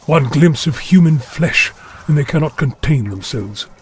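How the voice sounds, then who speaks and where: An actor playing a pompous, fretful government ambassador who grumbles gloomily and disdainfully in a comic audio drama. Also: male voice, on the high side, expressive, measured pace, noisy background. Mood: exasperated. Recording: music behind the voice.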